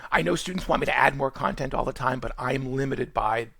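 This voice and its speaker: A man with a Boston accent talks fast and loosely, restarting sentences and filling pauses as he walks students through an online course. His voice is deeply gravelly and a little husky. The sound is dry and close. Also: pushed and bright, no room sound. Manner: fast, rambling, frequent fillers and restarts. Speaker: college nutrition instructor. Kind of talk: screen-recorded course orientation.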